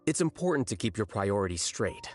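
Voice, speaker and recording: An actor playing an aloof young male scholar who talks in a calm, deadpan, cutting way. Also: on the low side, a little fast, soft background music. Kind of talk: video game dialogue.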